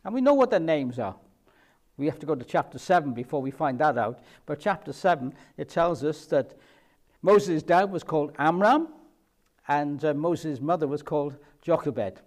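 A middle-aged man with a Welsh Cardiff accent delivers a sermon, walking through the passage patiently and repeating his main points. His voice sits a little high for a man, and he often pauses on "uh" as he goes. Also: expressive. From Cardiff, Wales. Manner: explanatory, repeats key points, frequent fillers. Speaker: preacher.

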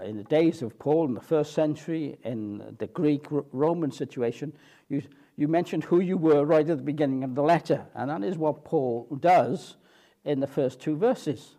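A man preaching in a mellow, dark-toned voice that sits a little high for a man. He teaches earnestly and explains patiently, with plenty of halting fillers and restarts, and he slows down for scripture readings. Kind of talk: evening sermon, expository Bible teaching.

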